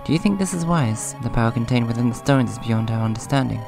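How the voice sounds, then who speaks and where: Hoarse guy doing a chill let's play, kinda subdued and rambly, reads the text out, game music going behind him. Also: mid-range voice, expressive, fast. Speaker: gaming video host. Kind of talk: casual let's play, reading game dialogue aloud. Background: video game music.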